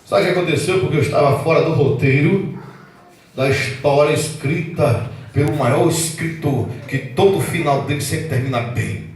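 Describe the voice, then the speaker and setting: Brazilian pastor, 40s, impassioned sermon; high voice, heard from across a reverberant hall. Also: male voice, normal speed. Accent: Brazilian Portuguese. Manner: preaching fervently, swinging between loud outbursts and low earnest tones. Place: church hall. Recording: echoey hall, mic at a distance.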